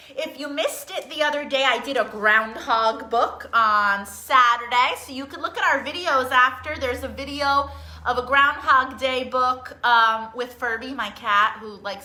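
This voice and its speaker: A woman's voice: high-pitched, bright, forceful tone. A woman leading a children's storytime in an encouraging, coaxing manner, putting simple questions and instructions to young listeners. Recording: roomy, echoey room sound.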